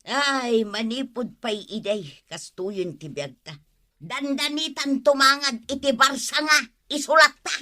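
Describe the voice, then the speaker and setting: High-voiced, grumbling Ilocano housewife. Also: female voice, a little slow, pushed and bright. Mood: grumbling, resigned. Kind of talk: radio comedy drama acting.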